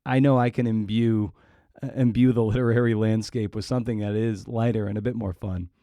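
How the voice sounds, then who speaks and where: A man's voice: lowish voice, fairly even intonation, brisk, mellow, no room sound, clean studio sound. A man hosting an interview podcast, talking casually and reflectively, often circling back and restarting mid-thought.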